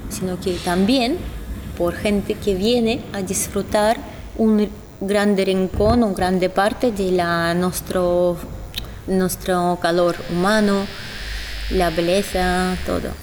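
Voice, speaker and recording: A woman reflecting casually in halting, non-native phrasing. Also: medium pitch, fairly even intonation, a little mellow, a little hiss or hum.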